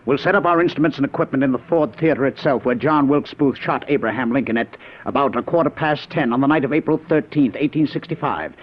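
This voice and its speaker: A man's voice: mid-range voice, fast talker, natural voice. A man acting in a 1950s radio drama as a driven scientist professor, urgent, declamatory and commanding. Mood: determined. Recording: dull, faintly noisy vintage radio recording.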